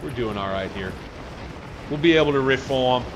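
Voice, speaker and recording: A man at an ordinary pitch chats loosely through a multiplayer game, joking and trailing off into quiet mutters. Steady game noise sits behind his voice throughout. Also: normal speed. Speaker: channel host. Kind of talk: casual multiplayer gameplay chat. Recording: noisy game audio behind.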